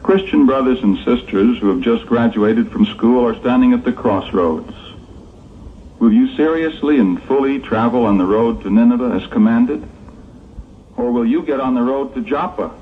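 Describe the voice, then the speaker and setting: A man giving scripted, sermon-like narration in an earnest, measured, rhetorical way, as in a vintage religious audio drama. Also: medium pitch. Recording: muffled, noisy old phone-like tape.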